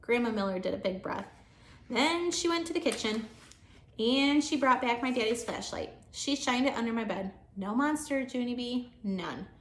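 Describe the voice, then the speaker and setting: A woman with a very high voice reads a children's storybook as a teacher would for her pupils. The recording has an echoey room sound. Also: animated, moderate pace, slight background noise. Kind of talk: children's storybook read-aloud.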